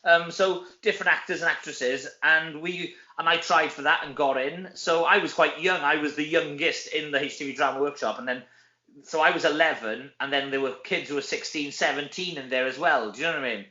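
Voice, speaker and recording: Welsh man, bright forceful voice, lo-fi roomy recording, chatty, self-deprecating, casual interview storytelling. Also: on the high side, a little fast. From Wales. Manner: chatty, self-deprecating, often tags sentences with "you know". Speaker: actor and comedy performer, interview guest. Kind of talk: casual anecdotal interview chat. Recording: roomy echo, dull lo-fi sound.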